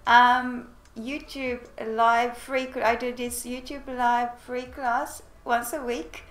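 A woman's voice: fairly high pitch, little pitch movement, slow, reverberant. A bubbly woman from Japan with a Japanese accent, a native Japanese speaker teaching a language lesson on a livestream and chatting with viewers. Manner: bubbly, giggly, switches between languages. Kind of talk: live-streamed lesson with chat greetings.

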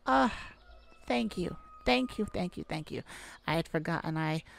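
A woman playing a caring, affectionate mage gardener in a gentle ASMR roleplay audio drama. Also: on the low side, lively intonation, moderate pace, creaky. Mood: cheerful, grateful. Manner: affectionate, gentle, full of endearments. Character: tender, caring mage gardener devoted to their werewolf mate.

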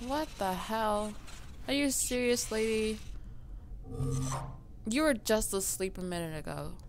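A woman commentating on her let's play, a little raspy from just waking up, playful and mock-outraged at the game. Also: medium pitch, expressive, a little slow, background noise. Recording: game music loud under her voice.

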